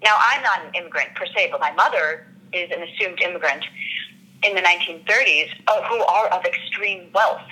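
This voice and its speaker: An actress in an interview, talking through her character in an earnest, reflective way and often pausing to search for words. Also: fairly low pitch, pushed and bright, low-quality recording. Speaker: actress discussing her role. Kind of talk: interview answers.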